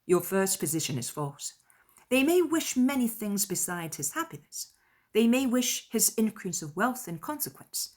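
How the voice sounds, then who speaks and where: A woman voicing a spirited, opinionated young woman in a dramatized period novel, arguing emphatically with deliberate stresses. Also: mid-range voice, sing-song, darkish tone. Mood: insistent.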